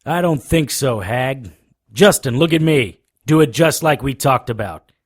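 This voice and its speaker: A man's voice: medium pitch, expressive, unhurried. A man playing a tough monster hunter in a scripted audio drama, terse, hard-boiled and defiant. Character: tough, defiant male monster hunter, narrating his own tale. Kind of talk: scripted first-person audio drama narration and acting.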